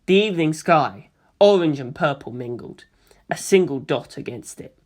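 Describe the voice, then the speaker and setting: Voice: mid-range voice, expressive, moderate pace. A narrator reading a first-person story expressively, in the manner of an audiobook.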